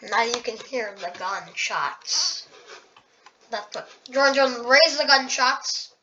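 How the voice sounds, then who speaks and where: A young female narrator talks through a map war game in a casual play-by-play, often stopping and restarting her sentences. Her voice sits a bit high. The recording sounds dull and lo-fi, with clear noise behind her. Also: sharp tone. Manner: halting, with many restarts.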